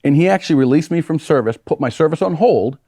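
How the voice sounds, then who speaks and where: A man's voice: a little high, animated, fast talker, mellow, no echo. A man from New Hampshire, a congressional candidate, telling his story in an earnest, rambling way with fillers. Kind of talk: interview storytelling. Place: small TV studio.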